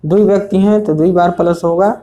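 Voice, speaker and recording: A man's voice: sits high, fairly even intonation, brisk, dark tone. A male teacher explaining age problems step by step for an entrance exam, repeating rules and often checking whether students follow. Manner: repetitive, checks understanding often. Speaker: math teacher. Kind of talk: exam prep lesson at a board.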